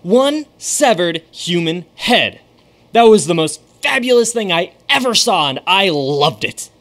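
A man's voice: high voice, big pitch swings, measured pace, fairly bright tone, exaggerated voice. An actor playing a famous stage magician with a secretive showman's flair in a radio drama. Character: famous stage magician, now a ghostly guide.

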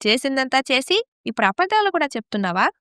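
A woman playing an arrogant, scheming young daughter-in-law in a moral story drama, sharp-tongued and complaining. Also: sits high, big pitch swings, fast, no echo, clean studio sound, heavily acted. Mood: accusing.

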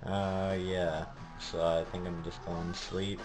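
A man's voice: low voice, slow pace, over loud music, low-quality recording. A young man giving a casual, rambling video diary in a hesitant way. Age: teenager or young adult. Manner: hesitant, rambling delivery with flat tone. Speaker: vlogger. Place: small bedroom at home, indoors. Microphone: camera held at arm's length.

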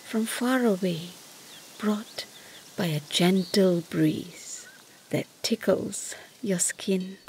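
A woman narrating a scripted guided meditation softly and gently, often close to a whisper, with long unhurried pauses. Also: medium pitch, slight background noise, poor audio. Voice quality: soft, breathy, often near whisper.

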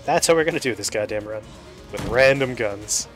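A man's voice: fairly low pitch, expressive, moderate pace. A man hosting a casual let's-play, talking in a playful, self-deprecating way. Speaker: show host, gamer. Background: music and game gunfire.

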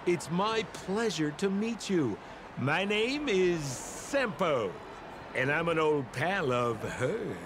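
An actor playing a smooth-talking, over-friendly rogue in a video game, theatrical and ingratiating. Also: male voice, a little high, lively intonation, slow, background noise. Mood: playful, ingratiating. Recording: game music under the voice.

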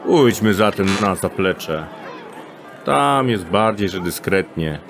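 An actor playing a cocky male thief in an audio drama, who talks in an odd, old-fashioned way over a clearly heard street ambience. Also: low-pitched, expressive, slow pace, crowd noise, background noise, heavily acted.

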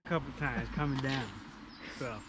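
A man's voice: mid-range voice, flat delivery, moderate pace, a bit bright, a little hiss or hum. A young man in casual chat as a hiking companion, recorded outdoors on a handheld camera. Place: outdoors by a roadside. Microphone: handheld action camera.

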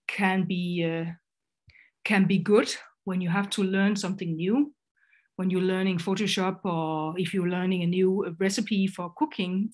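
Low-voiced Danish woman, hesitant lecture. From Denmark. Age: middle-aged. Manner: hesitant, many fillers and restarts. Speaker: graphic designer and educator. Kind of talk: online presentation lecture.

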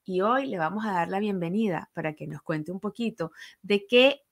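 A woman's voice: medium pitch, animated, normal speed. A woman with a Venezuelan accent hosting a casual radio interview in an affectionate, bubbly manner, close on a studio mic. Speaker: radio show host. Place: small living room at home, indoors. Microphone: studio microphone on a boom arm, close.

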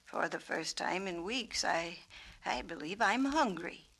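A woman voicing a gentle, humble storybook peacock who muses slowly and wistfully. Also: fairly low pitch, animated, creaky, exaggerated voice. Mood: musing. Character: gentle, wounded, humble invisible peacock, musing storybook bird.

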